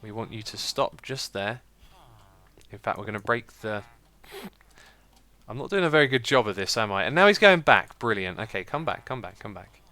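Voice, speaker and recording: Chatty, slightly croaky British man. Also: fairly low pitch, expressive, normal speed, pushed and bright. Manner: chatty, self-mocking, laughs at own slips. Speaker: let's play host. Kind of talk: casual gameplay commentary.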